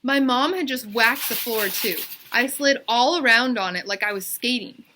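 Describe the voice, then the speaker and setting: A woman's voice: on the high side, moderate pace, pushed and bright, a little hiss or hum, voice pushed up. A woman voicing a casual young schoolboy telling his tale matter-of-factly in a children's storybook read-aloud.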